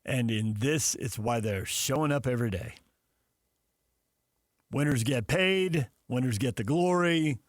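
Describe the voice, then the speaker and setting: A man's voice: mid-range voice, animated, unhurried, long pauses, no room sound, studio quality. A man co-hosting a sports radio show, trading arguments in playful, unscripted banter. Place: radio studio.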